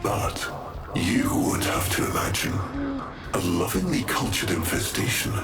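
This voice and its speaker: An actor playing a cruel, pompous aristocratic villain in a video game, delivering breathy, deliberate theatrical monologues. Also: male voice, low-pitched, very animated, loud music behind the voice, exaggerated voice. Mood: menacing, grandiose. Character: cruel, grandiose male aristocratic villain, coldly taunting.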